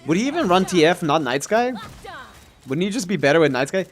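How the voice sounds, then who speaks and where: A man's voice: sits high, sing-song, a little fast, faint music behind. A man giving casual, offhand live stream commentary on a game, thinking aloud as he answers chat and swearing casually.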